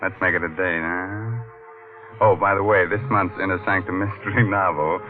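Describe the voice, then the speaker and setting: A man's voice: low-pitched, steady tone, normal speed, narrow, tinny audio, faint music behind. A man hosting a 1940s radio horror show in a tongue-in-cheek, mock-sinister drawl. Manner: mock-sinister, drawling, chuckling between macabre jokes.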